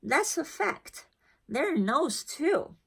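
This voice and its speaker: Woman voicing a courteous, folksy man, high-pitched. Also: big pitch swings, drawn-out words, vocal fry, exaggerated voice. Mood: agreeable. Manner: folksy, appreciative, polite. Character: courteous blind man on a bus.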